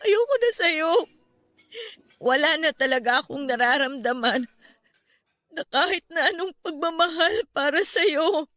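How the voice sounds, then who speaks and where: A woman's voice: high-pitched, expressive, measured pace, pauses often, pushed and bright, heavily acted. A woman playing a guarded, irritable young woman in a Tagalog radio romance drama, speaking with emotional emphasis. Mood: anguished, firm.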